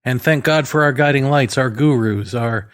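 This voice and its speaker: A man's voice: mid-range voice, moderate pace, a bit bright. A man speaks alone in a mid-range voice, giving an opinionated, philosophical commentary. His delivery is sardonic and rhetorical. He poses questions to the listener and repeats phrases for emphasis. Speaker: commentator delivering a solo monologue.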